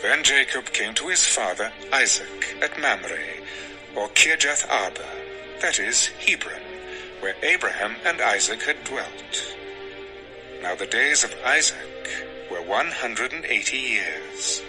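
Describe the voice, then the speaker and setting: A man narrating dramatized scripture as a measured, expressive storyteller. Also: mid-range voice, poor audio. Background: music.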